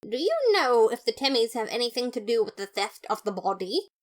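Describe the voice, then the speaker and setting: A woman's voice: on the high side, lively intonation, brisk, close to an everyday voice. A woman voicing a pompous, upright skeleton brother, formal and exasperated, in a fan audio story. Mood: pleading, earnest. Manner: formal, indignant, emphatic.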